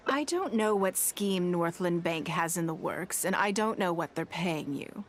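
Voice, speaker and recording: A woman's voice: fairly low pitch, lively intonation, a little slow, a little hiss or hum. An actress playing a shrewd, poised noblewoman in a video game, composed and authoritative. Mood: cool, threatening. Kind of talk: scripted video game dialogue.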